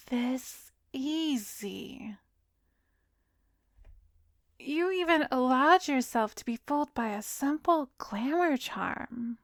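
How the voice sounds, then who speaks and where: An arrogant, cruel vampiress, played by a woman, speaks in a slightly high, seductive voice. She takes her time over every hypnotic command, breathy and close in a dry ASMR roleplay recording, often dropping toward a whisper. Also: fairly bright tone, dry recording, heavily acted.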